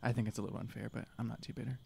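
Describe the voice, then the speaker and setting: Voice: medium pitch, flat delivery, very fast, vocal fry, a little mellow, slightly rough. A tabletop player improvising casual role-play in a dry, understated manner.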